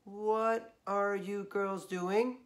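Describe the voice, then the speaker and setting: A man voicing a patient, tired mother who speaks gently but firmly, a little hoarse. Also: high-pitched, extremely slow, voice pushed up. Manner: calm, gently firm.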